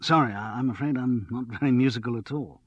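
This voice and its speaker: A male narrator giving a courteous, reserved man, mid-pitched and a little hesitant. The recording is dry and dull. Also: fairly quick, slightly rough, dry recording, close to an everyday voice. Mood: curious.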